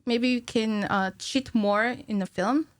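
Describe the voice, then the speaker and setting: A woman's voice: a little high, a little slow, no echo, clean studio sound. A woman in her thirties talking informally as a podcast guest, thinking aloud in short bursts. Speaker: podcast guest, cinematic animator. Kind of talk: casual interview chat. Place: small indoor podcast studio. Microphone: studio mic on a stand, headphones.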